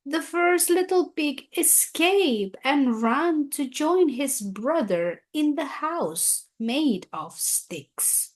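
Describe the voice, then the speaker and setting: A woman storyteller reads aloud for young children at a very unhurried pace. Her voice is somewhat high and rises and falls in animated swoops, giving the scripted tale a deliberate, expressive shape.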